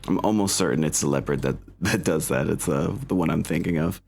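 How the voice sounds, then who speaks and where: Deep-voiced man running a tabletop game. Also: gravelly, a little mellow, faint music behind. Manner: halting, thinking aloud. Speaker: game master. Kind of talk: casual tabletop roleplay narration and chat.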